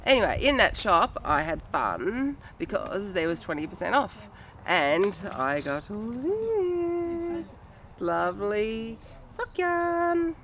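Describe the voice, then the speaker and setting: A woman's voice: mid-range voice, slow pace. A woman, nasal and getting over bronchitis, chatting casually as a podcast host while showing off her yarn. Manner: chatty, rambling, frequent ums. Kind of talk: casual show-and-tell chat. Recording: steady background noise.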